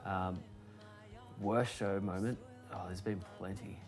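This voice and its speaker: A young adult man, chatting casually as a musician guest in a bar booth, relaxed and rambling with fillers. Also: sits low, slow, faint music behind. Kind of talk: casual interview chat.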